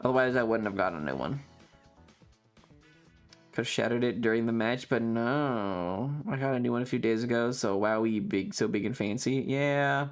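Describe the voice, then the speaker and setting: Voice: mid-range voice, normal speed, soft background music. A livestream host chatting casually with viewers in a rambling, loose, casually profane way. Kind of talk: casual chat with stream viewers.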